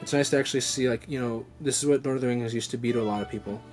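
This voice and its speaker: A young man talks off the cuff with clear music playing behind him. His voice sits at an average pitch, and he rambles along with a fan's enthusiasm, doubling back to fix his own words. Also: fairly even intonation, rapid-fire. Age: young adult. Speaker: vlog host. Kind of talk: casual unscripted unboxing chat. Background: background music.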